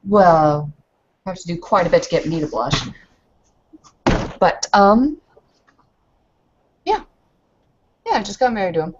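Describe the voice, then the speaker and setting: A woman's voice: lowish voice, sing-song, measured pace, long pauses, slightly rough, heavily acted. A woman playing a candid, hesitant young newlywed bride who is frustrated, in improvised tabletop roleplay. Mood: sheepish, candid. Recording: dull lo-fi voice recording.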